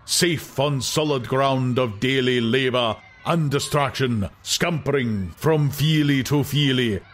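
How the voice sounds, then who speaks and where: A man voicing a grandiose older world leader in an audiobook, lecturing in measured oratory and savouring each list. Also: medium pitch, voice pushed up, natural voice. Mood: grandiose, rhapsodic. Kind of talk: dramatized audiobook acting.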